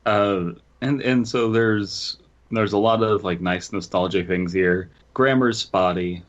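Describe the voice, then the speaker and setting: A man with a lowish voice hosts a comedic podcast. His talk is casual, joking and somewhat halting. The recording sounds a little dull and lo-fi. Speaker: podcast host. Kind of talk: casual comedic chat, reading stories aloud. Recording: slightly dull, lo-fi microphone sound.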